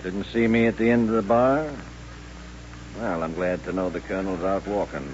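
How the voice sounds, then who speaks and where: An actor playing a menacing, sardonic western gunfighter with a mocking, folksy drawl in a radio drama. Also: male voice, low-pitched, steady tone, moderate pace, close to an everyday voice. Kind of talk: old-time radio western acting. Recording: muffled old radio recording with hum.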